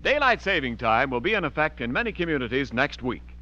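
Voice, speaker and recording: A man's voice: medium pitch, very animated, brisk, sharp tone, lo-fi sound. A man with an American accent announcing and advertising in polished, emphatic 1940s radio style. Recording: thin vintage radio recording.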